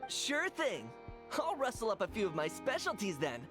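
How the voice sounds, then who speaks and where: A woman's voice: medium pitch, wildly swooping pitch, moderate pace, over music. A woman voicing an easygoing, friendly restaurant chef with dry humour in a video game. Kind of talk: English video game voice acting.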